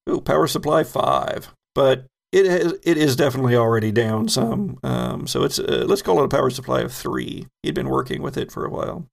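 A man with a deeply gravelly, creaky voice runs a tabletop horror game as game master. He describes scenes at an unhurried pace, filling gaps with ums.

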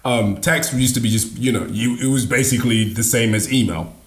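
Halting man from Nigeria, casual chat. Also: medium pitch. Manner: halting, many restarts and fillers, laughs often. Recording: echoey room.

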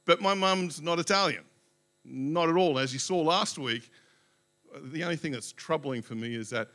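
A man's voice: on the high side, moderate pace, pushed and bright. A male pastor, speaking in a casual, self-joking way into a handheld mic to his congregation. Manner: chatty, self-joking, many fillers. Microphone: handheld microphone.

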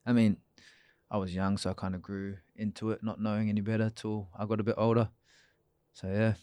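A young man with a very low, smooth voice answers questions as a podcast guest. He speaks in a relaxed, easygoing way, full of fillers. The sound is dry and close. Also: fairly quick, no room sound. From New Zealand. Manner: relaxed, many fillers, laughs easily. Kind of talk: casual interview chat.

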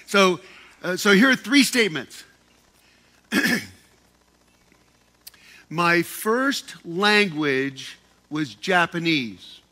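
Joking guy giving a talk into a handheld mic, high voice, keeps restarting his words. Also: animated, extremely slow, long pauses, bright, forceful tone. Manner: playful, joking, often repeats and restarts words. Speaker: speaker addressing a group. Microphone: handheld microphone.

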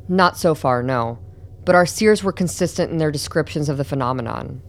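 Woman playing a low-voiced, polished doctor. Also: fairly quick. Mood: matter-of-fact. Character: polished, self-assured female doctor with an agenda. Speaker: voice actor in a radio play. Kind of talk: radio drama acting.

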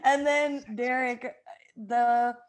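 Excitable high-voiced woman hosting. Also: extremely slow, crowd noise. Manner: excitable, jokey, swears freely. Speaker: podcast host and director. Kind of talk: casual group chat.

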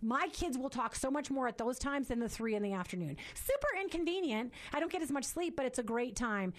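A woman in her forties hosting a faith-based parenting talk, loud and fast-talking, earnest and prone to repeating words as she restarts. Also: sits high, expressive.

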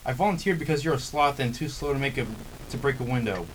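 A male performer voicing an eager, easily scared pet dog with an excitable, wry delivery in an amateur radio comedy. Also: mid-range voice, fast, close to an everyday voice, rough recording. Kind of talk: amateur old-time radio comedy drama. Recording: faint background noise.

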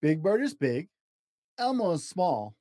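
A man hosting a storytime show and reading a children's book aloud expressively, in deliberate short phrases with an encouraging manner. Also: fairly high pitch, big pitch swings, mellow, no echo. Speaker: podcast host reading picture books aloud.

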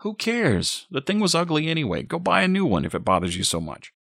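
A man voicing a gruff, dismissive husband in an audiobook, brusque and scornful. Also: low-pitched, very animated, normal speed, a bit bright, dry recording, clean studio sound, exaggerated voice. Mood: annoyed, dismissive.

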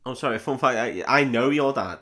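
A man's voice: mid-range voice, a little fast, a crowd in the background. A man co-hosting a film podcast in casual chat, with a jokey, sweary manner.

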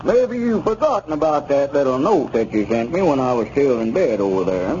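An actor playing a scheming, self-important old squire who drawls in a 1930s radio comedy. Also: male voice, medium pitch, expressive, brisk, a little mellow, natural voice. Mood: smug, threatening. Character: aggrieved, scheming old squire, pressing two friends for money. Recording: noisy, muffled 1930s radio recording.

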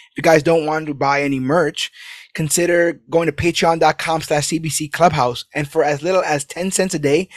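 A man's voice: fairly high pitch, no room sound. A man hosting a podcast, casually rambling through an upbeat outro full of plugs and calls to support the show. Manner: rambling, upbeat, hype-driven promo talk. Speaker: podcast host.

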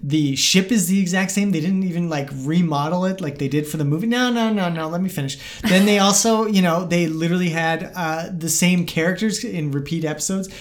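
A woman's voice: lowish voice, brisk, hardly any pauses. A woman hosting a podcast, chatting and debating casually in an insistent, bantering way. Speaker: podcast host.

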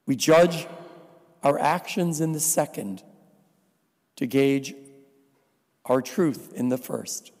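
A man from New Jersey preaching a sermon as a visiting priest, slow and deliberate with dry asides. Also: on the high side, a little mellow. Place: church. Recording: reverberant church hall.

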